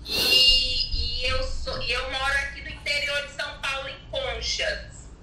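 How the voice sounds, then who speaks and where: Woman from alagoas, talks slow with lots of pauses, high bright voice, echoey and far from the mic. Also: flat delivery, a little hiss or hum. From Alagoas, Brazil. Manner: slow, halting, many pauses and fillers. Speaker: network marketing participant, guest. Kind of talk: hesitant conversational testimony. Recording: echoey distant mic with faint ambience.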